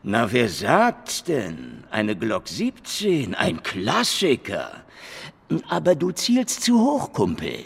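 An actor playing a cynical, menacing Soviet secret-police investigator in a radio crime drama, with sardonic, deadpan wisecracks. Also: male voice, mid-range voice, takes it slowly, vocal fry, breathy edge, heavily acted. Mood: taunting, menacing. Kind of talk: hardboiled radio crime drama, acting and narration.

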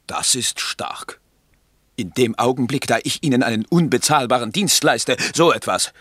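A man's voice: mid-range voice, moderate pace, a bit bright, no echo, clean studio sound, close to an everyday voice. A man playing a mercenary, easily offended campaign backer in a German radio play, blunt and insistent. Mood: indignant.

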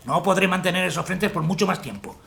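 A man playing a blunt, fatalistic army colonel, with a gravelly voice. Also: high-pitched, fast talker, a bit bright, a little hiss or hum, heavily acted. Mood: grim. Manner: curt, grim.

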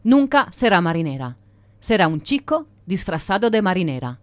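A woman's voice: fairly low pitch, big pitch swings, normal speed, no echo. A woman narrating an audio drama with scripted, dramatic storytelling.

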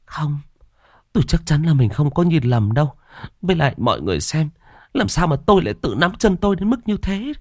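A man's voice: fairly high pitch, big pitch swings, fairly quick, no echo, clean studio sound, voice pushed up. A man voicing a terrified older village woman who stammers and insists on what she saw. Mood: insistent frightened.

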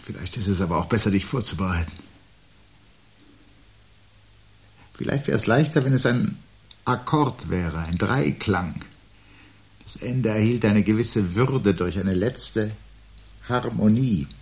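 An actor playing a resigned, brooding man awaiting the end of the world, speaking gravely and deliberately in a radio drama. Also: fairly low pitch, animated, heavily acted. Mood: foreboding, restrained. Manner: grave, deliberate, literate and reflective. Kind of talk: 1970s German radio drama acting. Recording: 1970s radio recording with loud hum.

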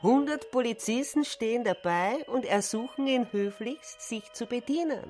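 An actress playing an excitable, scornful woman in a literary radio drama, with a pointed, declamatory delivery. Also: on the high side, moderate pace, soft background music, natural voice. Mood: scornful, wry.

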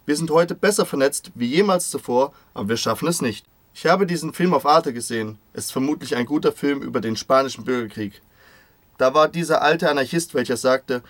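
Male voice-over reader for a translated radio interview, mid-pitched, very dry sound. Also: fairly quick, fairly bright tone, dry recording.

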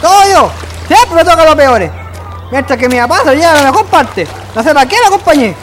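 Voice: sits high, expressive, brisk, a bit bright, soft background music. An actor with a Chilean accent playing a put-upon young son in a radio drama, whining and complaining. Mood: grumbling.

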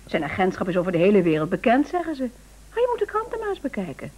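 A woman's voice: mid-range voice, huge pitch swings, racing, darkish tone. A woman acting a gossipy, impressed neighbour in a Dutch-language radio play, chatty and emphatic. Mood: eager gossip.

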